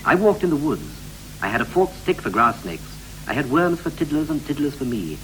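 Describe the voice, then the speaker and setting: An actor playing a wistful British husband recalling his boyhood in clipped, poetic verse with deliberate pauses, in the style of a 1960s radio play. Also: on the high side, very animated, a bit bright, poor audio. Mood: boyish reminiscence. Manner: incantatory short phrases, deliberate pauses. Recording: vintage 1960s radio recording with hum and hiss.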